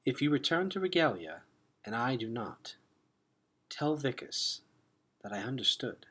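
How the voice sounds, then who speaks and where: A man voicing a solemn, guarded young warrior girl in a storybook, in formal, measured words. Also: mid-range voice, big pitch swings, fairly bright tone, slightly rough, pitched above the performer's own voice, full character voice. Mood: soft, grave. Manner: formal, measured speech without contractions.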